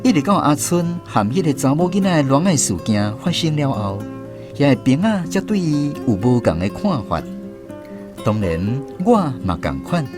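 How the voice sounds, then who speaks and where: A man's voice: mid-range voice, big pitch swings, normal speed, lightly acted. A man with a Taiwanese accent playing a former serviceman who wryly narrates barracks memories in a radio drama. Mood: wry, reflective. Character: former army serviceman recounting barracks memories, wry storyteller. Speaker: narrator, a former soldier in the story. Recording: music bed behind the voice.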